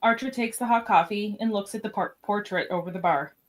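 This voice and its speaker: A woman's voice: medium pitch, a little fast. A woman reading scripted scene directions as narrator of a podcast radio play.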